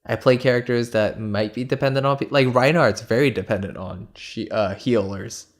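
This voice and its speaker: A man talks casually about video games with a friend. His voice sits in an ordinary middle pitch, slightly stuffy in the nose, and he rambles through fillers and little laughs. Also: moderate pace. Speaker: podcast co-host. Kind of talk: casual gaming chat.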